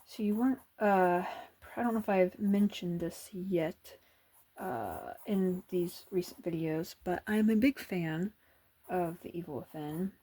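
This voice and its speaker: Woman, soft-spoken ASMR artist; husky, breathy tone, hesitant and thinking aloud. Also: fairly low pitch, a little slow. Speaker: artist drawing on camera.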